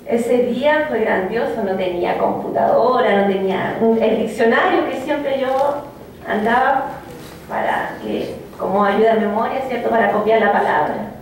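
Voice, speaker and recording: A woman poet giving a reflective, halting personal talk, with many fillers and restarts. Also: fairly high pitch. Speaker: poet speaking of her native language. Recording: echoey room, from a distance, faint noise.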